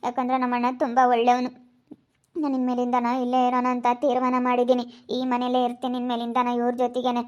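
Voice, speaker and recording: A woman's voice: on the high side, monotone, brisk, darkish tone, lightly acted. A woman acting a pleading, devoted younger sister in a family drama, imploring and repeating herself. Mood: hurt, resolved. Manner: repetitive, imploring. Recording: dull lo-fi sound.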